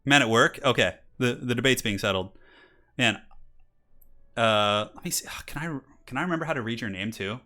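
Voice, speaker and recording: A man's voice: mid-range voice, moderate pace, sharp tone. A man in his thirties hosting a live stream, reading donations in a chatty way with frequent laughs, then casting a strategy game match with hyped intros. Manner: chatty, reads donations aloud, laughs often. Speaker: streamer and tournament caster. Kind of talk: casual live-stream chat and game commentary.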